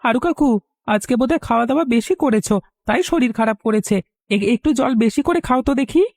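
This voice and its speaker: Woman acting an earnest high-voiced village boy. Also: expressive, brisk, darkish tone, no echo, clean studio sound. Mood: concerned. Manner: earnest, pleading. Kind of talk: Bengali cartoon voice acting.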